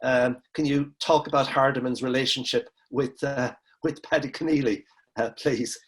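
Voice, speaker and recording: A man's voice: medium pitch, steady tone, moderate pace. A man moderating a webinar, asking a panellist polite, hesitant questions. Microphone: video call.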